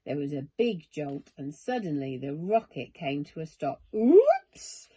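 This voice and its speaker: A woman reads a children's bedtime story at a slow pace. Her delivery is expressive and dramatic, with wide swings in pitch. The tone is soft and mellow. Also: husky.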